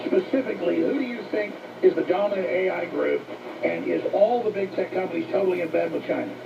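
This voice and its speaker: A gravelly man hosting a talk interview in an insistent, repetitive way, heard through radio playback. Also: high-pitched, fast, far from the mic. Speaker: talk show host interviewing a guest. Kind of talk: forceful broadcast interview. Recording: dull, noisy radio playback from off mic.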